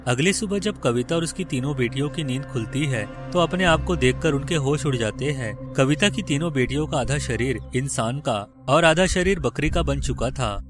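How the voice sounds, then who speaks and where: A man's voice: mid-range voice, normal speed, few pauses, music in the background. A man narrating a scripted children's moral story.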